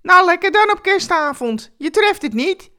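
A woman's voice: very high voice, lively intonation, normal speed, sharp tone, pitched above the performer's own voice, full character voice. A woman voicing a cheerful, chatty homeless man with folksy, familiar street talk in a told story. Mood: teasing, curious. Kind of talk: storytelling character voice.

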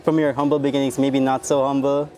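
A man hosting a podcast, asking questions in a relaxed, friendly way. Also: mid-range voice, fast, faint music behind. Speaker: podcast host. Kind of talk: casual podcast interview.

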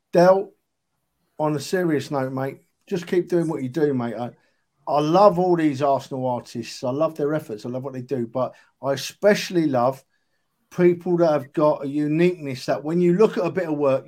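A man's voice: fairly high pitch, moderate pace, mellow. A man of about sixty, chatting casually and earnestly as a football fan on a podcast, emphatic and matey. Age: 60s. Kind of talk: casual chat.

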